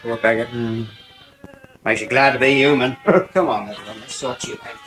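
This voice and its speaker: Casting: man playing tired English farm worker, medium-pitched voice, laconic, practical, radio drama. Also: steady tone, normal speed, a bit bright, soft background music, natural voice. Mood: weary, wry. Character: weary, down-to-earth farmhand at lambing. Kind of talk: radio soap acting.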